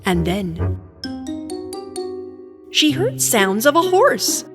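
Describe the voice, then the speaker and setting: Casting: female fairy tale narrator, expressive, higher-pitched, very animated intonation. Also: extremely slow, music in the background. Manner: expressive storytelling. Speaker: storyteller, narrator.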